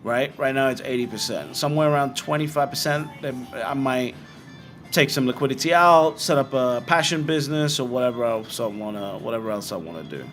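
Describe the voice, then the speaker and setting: A British man hosting a live show and answering viewer comments in a frank, blunt way, firing off quick rhetorical questions. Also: medium pitch, fairly bright tone, faint music behind. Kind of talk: casual livestream commentary.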